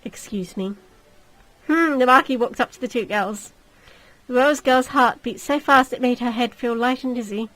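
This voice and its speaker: High-voiced woman narrating a story game. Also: normal speed, fairly bright tone, poor audio. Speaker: gamer reading a visual novel aloud.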